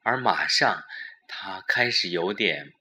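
A man narrating a scripted audio drama with measured, suspenseful phrasing and a dramatic delivery. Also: deep voice, expressive, sharp tone, slight background noise.

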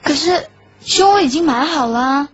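A woman's voice: high-pitched, lively intonation, normal speed. A woman playing a lovelorn schoolgirl in a radio drama, narrating her heartbreak in a wistful, earnest way. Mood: puzzled. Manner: wistful, earnest, softly self-effacing. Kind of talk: Chinese radio drama acting with inner monologue. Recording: dull lo-fi audio under clear music.

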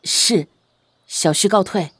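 A woman playing a mild young husband and father in a Chinese period audio drama, polite and measured. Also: medium pitch, husky. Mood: polite, gentle.

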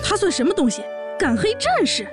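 A woman's voice: very high voice, wildly swooping pitch, fairly quick, over music, full character voice. A woman playing a brash, boastful pregnant orc warrior, a sassy and bossy older sister, in a fan audio drama. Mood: indignant. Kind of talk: audio drama acting and narration.

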